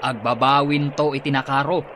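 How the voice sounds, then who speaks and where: A man's voice: medium pitch, steady tone, normal speed, natural voice. A man with an Ilocano accent playing a sensible, chiding older neighbor in a radio drama. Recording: noisy outdoor ambience behind voice.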